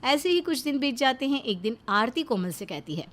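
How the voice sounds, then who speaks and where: Higher-pitched woman storyteller. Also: sing-song, normal speed. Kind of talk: scripted story narration.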